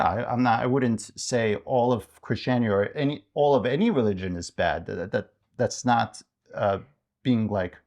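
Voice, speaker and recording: A man co-hosting a podcast as an interviewed author, talking in a casual, reflective way and haltingly thinking aloud. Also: medium pitch, vocal fry.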